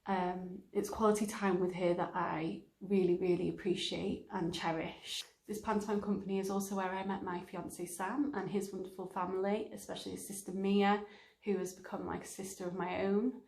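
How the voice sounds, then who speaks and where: A woman of medium pitch gives a personal testimonial about her theatre company. The room around her voice is noticeably echoey. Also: level tone, moderate pace, gravelly, a little mellow. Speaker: amateur pantomime company member. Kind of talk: testimonial to camera.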